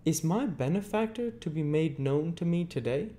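A timid young man, as a male reader voices him, answering with polite, hesitant deference. The voice sits far above the reader's usual pitch, soft-toned and mellow. A storybook youth keen not to offend. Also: lively intonation, moderate pace, a little hiss or hum, close to an everyday voice. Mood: deferential.

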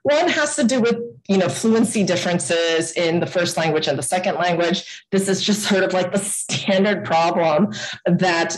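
A woman's voice: fairly low pitch, a little mellow, poor audio. A woman giving an online lecture over a video call, talking casually with many fillers and restarts. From United States.

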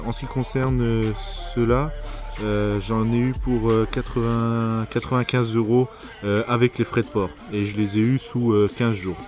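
Man, lowish voice, informal reviewer, hesitant, slangy. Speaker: product reviewer. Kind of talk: casual hands-on product review.

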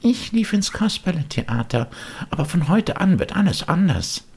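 A man's voice: sits high, big pitch swings, moderate pace, vocal fry, a little mellow, slightly rough, pitched above the performer's own voice, exaggerated voice. A man voicing an eager, naive little wooden puppet boy, exclaiming and pleading in an audiobook. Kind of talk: audiobook character voice.

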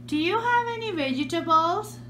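Woman, high and bright, patient parent playing shop with a small child, filmed at home. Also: lively intonation, normal speed, a little hiss or hum. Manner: gentle, patient, coaxing. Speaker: parent hosting a kids' channel. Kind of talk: playful pretend-play with a toddler. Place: home living room.

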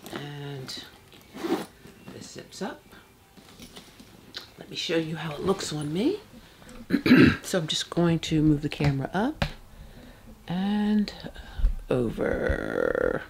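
Woman, 50s, soft-spoken, low voice, slow halting delivery, hissy background. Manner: unhurried, halting, many fillers. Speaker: handbag vlogger. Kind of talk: casual product demo. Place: bedroom, seated on a bed. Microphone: external camera mic.

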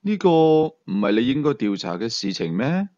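Cool smug investigator, read by a man, animated. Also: mid-range voice, moderate pace, dry recording, highs cut off, lightly acted. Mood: cool, offhand. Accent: Hong Kong Cantonese.

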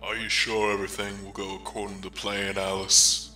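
A man's voice: on the low side, monotone, normal speed, echoey room, distant mic, music in the background, lightly acted. A man voicing a loyal, wary cartoon wolf in a comic dub, solemn, earnest and heartfelt.

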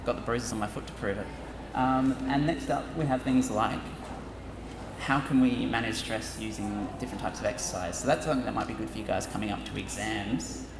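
A young man giving an upbeat talk to high school students from a lectern, adding joking asides. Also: fairly quick, music in the background. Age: young adult. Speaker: university presenter. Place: large auditorium with stage. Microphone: lectern microphone. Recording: echoey hall with audience noise.

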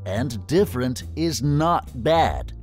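A man voicing a kindly turtle in a storybook, soft and reassuring. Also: mid-range voice, animated, slow pace. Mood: gentle, reassuring. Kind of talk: storybook character read-aloud. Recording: music clearly under the voice.

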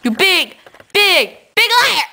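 Teen girl prank caller, bright and sing-song, loud goofy outbursts, close webcam at home. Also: very high voice, very animated, slow pace, creaky. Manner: silly, giggly, loud outbursts. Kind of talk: goofy prank phone call. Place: indoors at home.

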